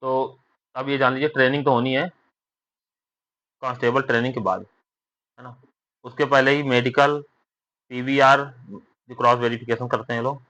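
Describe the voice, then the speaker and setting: Bright, forceful young man, lo-fi. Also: mid-range voice, flat delivery, moderate pace, pauses often. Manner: conversational, repeatedly seeking agreement with tag questions. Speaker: vlogger giving recruitment updates. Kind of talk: informal spoken update to viewers. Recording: dull lo-fi recording.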